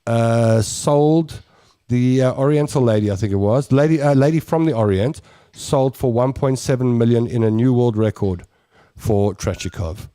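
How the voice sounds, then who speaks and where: Middle-aged South African male host, warm and meandering, mid voice, dead dry room. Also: mid-range voice, moderate pace, smooth, no echo. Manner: warm, rambling, many restarts. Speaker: talk show host. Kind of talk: casual chat, reading viewer comments.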